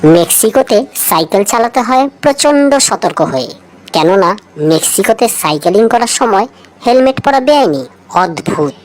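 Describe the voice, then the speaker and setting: A woman with a mid-pitched voice narrates a light trivia feature from a script. She speaks straight to the listener and often frames things as rhetorical questions. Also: animated, normal speed, darkish tone.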